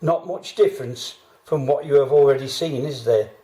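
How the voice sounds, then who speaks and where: A man speaks in a grave, measured way, narrating and then gently interviewing a guest. His voice is mellow and relaxed, with a roomy echo around it. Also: mid-range voice. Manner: grave, measured delivery with rhetorical questions. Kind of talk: solemn documentary narration and interviewing.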